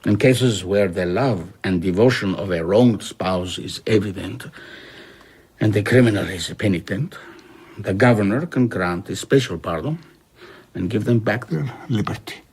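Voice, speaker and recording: An actor playing an older traveller in an audio drama, recounting his past with reflective, measured husky speech. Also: on the low side, darkish tone. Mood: earnest. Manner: measured, explanatory. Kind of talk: audio drama dialogue, storytelling.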